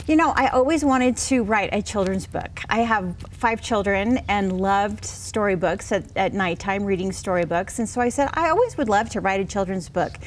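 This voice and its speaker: A middle-aged woman with a mid-pitched voice talks as an interview guest. She is earnest and reassuring, sharing her story in a conversational way. There is some noise behind her. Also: normal speed, few pauses. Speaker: interview guest, children's book author.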